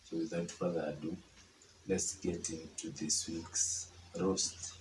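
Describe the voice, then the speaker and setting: A hoarse young man chatting informally as he critiques his old drawings, full of fillers. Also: low voice, flat delivery, slow pace, echoey room, slight background noise, poor audio. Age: young adult. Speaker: artist. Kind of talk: casual art tutorial chat.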